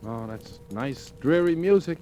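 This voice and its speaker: An excitable American gambler in a golden-age radio play, with a fairly high, swooping voice. He is wry and colloquial, spinning his tale of bad luck over prominent music on an old recording. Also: unhurried. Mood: wry, aggrieved. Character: excitable wisecracking gambler narrating his hard-luck story. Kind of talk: 1940s-50s American radio drama acting.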